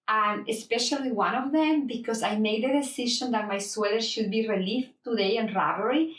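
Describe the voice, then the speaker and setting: Lady showing her knitwear, simple english, bit far from the mic. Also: on the high side. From El Salvador. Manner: non-native phrasing, simple direct sentences. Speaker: knitwear designer guest. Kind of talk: casual spoken presentation. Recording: roomy, from a distance.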